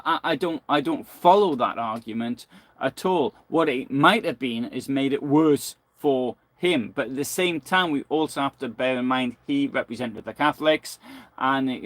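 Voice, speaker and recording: Halting man giving history analysis, close dry sound. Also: mid-range voice, fairly bright tone, no room sound. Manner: halting, searching delivery with frequent self-corrections; argumentative, reasoning points aloud. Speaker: history presenter. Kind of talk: unscripted live historical analysis.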